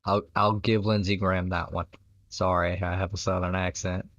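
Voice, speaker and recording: A man's voice: deep voice, flat delivery, normal speed, fairly bright tone, no echo. A man casually commenting on a clip he is watching, blunt and dismissive, laughing at it, recorded on a dull lo-fi mic.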